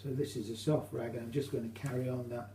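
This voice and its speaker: British male hobbyist demonstrator. Relaxed, dark tone, hesitant informal delivery, faint hum in the recording. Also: medium pitch. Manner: hesitant, halting, explanatory. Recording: roomy echo, faint hum.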